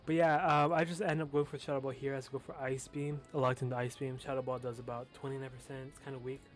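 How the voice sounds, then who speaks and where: A man's voice: mid-range voice, level tone, quick pace, faint music behind. A young man with a lisp casually commentating his own competitive match, talking loosely with fillers and the odd swear word.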